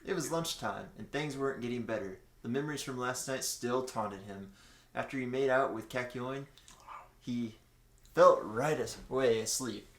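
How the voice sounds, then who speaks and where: A man's voice: medium pitch, moderate pace. A man casually reading a story aloud, mixing amateur narration with banter. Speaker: co-host reading fan fiction aloud. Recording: roomy, echoing room sound.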